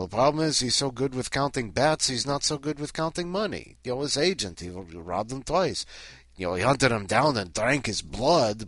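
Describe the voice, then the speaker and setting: A man's voice: medium pitch, fairly bright tone, husky, dry recording. A man playing a comic three-hundred-year-old Count Dracula with a mock Eastern European accent, hesitant and digressive as he hosts. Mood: bemused, rambling. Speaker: host of a weekly story challenge. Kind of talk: comic ad-libbed monologue.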